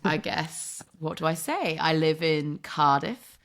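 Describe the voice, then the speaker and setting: A woman from England in her thirties talks casually as a guest on a podcast, drawing on her work as a sports broadcast journalist. Her voice sits on the low side for a woman. She laughs often, plays down her own part, and fills her sentences with "like.". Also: lively intonation, slow, a bit bright. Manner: self-deprecating, laughs often, lots of "like" fillers.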